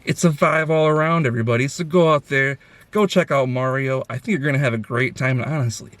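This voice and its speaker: A man's voice: mid-range voice, fast, a bit bright, dry recording. A man hosting a casual film review chat, talking in an enthusiastic, effusive way.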